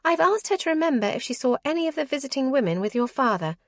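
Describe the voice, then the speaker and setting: A woman voicing a cheerful, bubbly young woman with a bright, giggly manner. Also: high voice, very animated, fast, no echo, studio quality, pitched above the performer's own voice.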